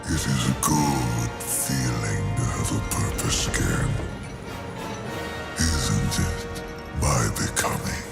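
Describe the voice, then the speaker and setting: A man playing an ancient, solemn supernatural keeper of souls in a game, gravelly and weighty in his pronouncements. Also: rumbling low, full character voice. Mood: solemn, resolute. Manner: slow, weighty, cryptic pronouncements. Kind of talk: dramatic video game cutscene acting. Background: music.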